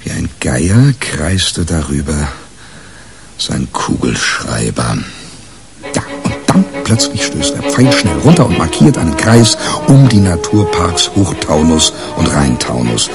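Male narrator for crime radio play: cynical newsman, low and gravelly, wry and cocksure. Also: huge pitch swings, measured pace, loud music behind the voice, full character voice. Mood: wry, dry. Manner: wry, colloquial, boastful. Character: cynical, self-assured male press reporter telling his story.

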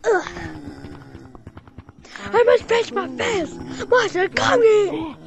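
Very high-pitched game player. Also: slow, lo-fi sound, crowd noise. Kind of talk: casual chat while playing a VR game.

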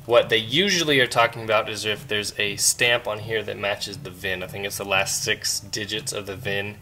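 Bright-voiced man explaining car repair. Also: fairly low pitch, normal speed. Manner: relaxed, explains step by step, asks viewers questions. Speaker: car restoration vlogger. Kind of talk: casual how-to narration. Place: outdoor driveway by a car engine.

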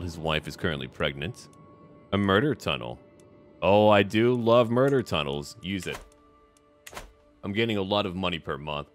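A low-pitched man commentates on a strategy game as if living the part. His intonation swings widely as he muses aloud in a scheming, theatrical way. Music plays underneath. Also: fairly bright tone. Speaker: gamer narrating a strategy game.

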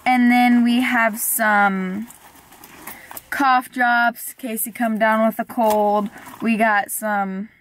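Young woman chatting to the camera in her car, kinda high voice, says like a lot, a bit of car noise. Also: steady tone, slow pace. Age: young adult. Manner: chatty, giggly, says "like" often. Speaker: vlogger. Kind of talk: casual vlog chat. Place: inside a parked car. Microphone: camera on the dashboard.

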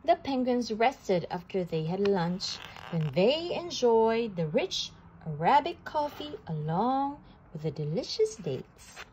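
A woman reads a children's picture book aloud at a table indoors. Her fairly high voice swings widely in pitch. She takes her time over every line, with a faint hiss behind her. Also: rough recording. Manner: slow, animated storytelling with occasional restarts. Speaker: storybook reader.

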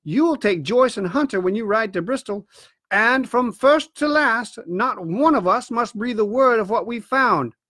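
A man playing a cautious country doctor in a storybook. He is firm and measured and speaks in steady warnings. The voice sits noticeably high and sounds dry. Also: dry recording, heavily acted.

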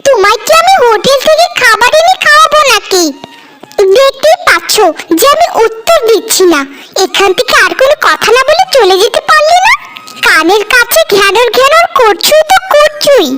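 Woman playing a scornful, shrill-high young housewife. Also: big pitch swings, moderate pace. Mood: angry scolding. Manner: scolding, sarcastic. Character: rude, greedy young village housewife, scornful and quick-tempered. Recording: music behind the voice.

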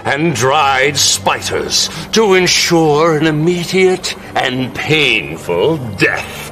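An actor playing a sly, sardonic middle-aged royal chancellor in a campy fantasy game scene. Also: male voice, on the high side, animated, drawn-out words, sharp tone, full character voice. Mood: gloating. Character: scheming, sneering royal chancellor villain, middle-aged man. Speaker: actor in live-action game cutscenes. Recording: music behind the voice.

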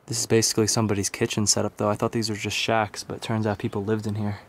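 A man's voice: on the low side, completely flat delivery, fast. A young adult man casually narrating an exploration to a handheld camera as a vlogger. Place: outdoors on wooded roads and at derelict buildings. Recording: noisy outdoor handheld camera audio.

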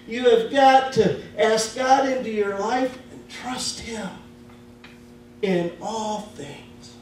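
Midwestern man, pastor, high voice, slow emphatic sermon, heard from afar in a booming church with faint hum. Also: a little mellow, heavy reverb, recorded from a distance, poor audio. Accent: Midwestern American. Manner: slow, emphatic preaching with casual grammar. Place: church sanctuary.

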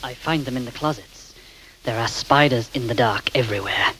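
An actor playing an excitable male asylum patient obsessed with insects, wheedling and creepy in a 1970s radio drama. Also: mid-range voice, moderate pace, a little hiss or hum, close to an everyday voice, low-quality recording. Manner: wheedling, creepy, lurching between whispers and outbursts.

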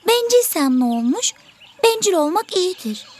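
A tiny selfish songbird for a children's cartoon, extremely high and lively in pitch. The bird is boastful, dismissive and always ready to argue. There is faint noise behind the voice. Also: female voice, moderate pace. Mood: defiant. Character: selfish, vain, argumentative little songbird. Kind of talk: children's educational cartoon acting.

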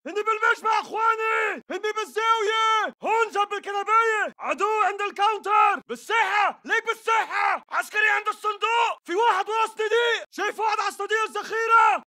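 An actor playing an urgent militant fighter in a shooter game, calling out enemy positions in short bursts of Levantine Arabic. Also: male voice, very high voice. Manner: urgent shouted short callouts. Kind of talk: military shooter battle chatter.

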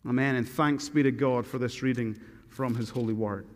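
A man's voice: medium pitch, fairly even intonation. Glaswegian man preaching in a reverberant church. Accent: Glaswegian Scottish. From Scotland. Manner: earnest, reads scripture with slow emphatic stresses. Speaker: guest preacher. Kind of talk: sermon with scripture reading. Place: large church sanctuary. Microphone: podium microphone.

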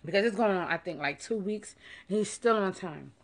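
A woman's voice: medium pitch, fairly quick, no echo. A middle-aged woman, chatting playfully to her phone camera at home and in a car. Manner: playful, talkative, sing-song asides. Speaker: vlogger. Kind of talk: casual chat to camera. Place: living room at home, then parked car. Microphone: phone camera held close.

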